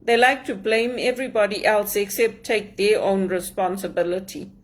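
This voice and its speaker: A woman's voice: mid-range voice, fairly even intonation, normal speed. A woman from Zimbabwe in an earnest personal monologue, aggrieved, repeating phrases for emphasis. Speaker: immigrant sharing her story.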